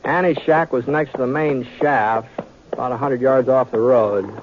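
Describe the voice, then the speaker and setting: Actor as an easygoing cowboy, crackly old radio. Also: male voice, mid-range voice, steady tone, normal speed, muffled recording, close to an everyday voice. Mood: wry, wary. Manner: laconic, hesitant, mild, folksy. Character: easygoing, reluctant, kindly western drifter hero. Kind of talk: old-time radio western acting and first-person narration. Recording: thin, noisy classic radio recording with clicks.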